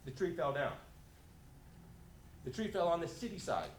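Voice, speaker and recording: A man speaking as a resident at a public meeting, explaining informally and deliberately, step by step. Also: fairly high pitch. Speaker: resident giving public comment. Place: indoor council meeting room. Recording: echoey room, steady background noise.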